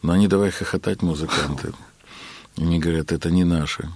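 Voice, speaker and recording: A man being interviewed about his work as a keyboard player, telling his story in an unhurried way with many filler words. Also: low-pitched, steady tone, darkish tone, no echo.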